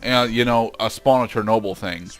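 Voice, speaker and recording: A man's voice: fairly low pitch, normal speed. A man giving casual commentary on a video game he is playing. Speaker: let's play commentator.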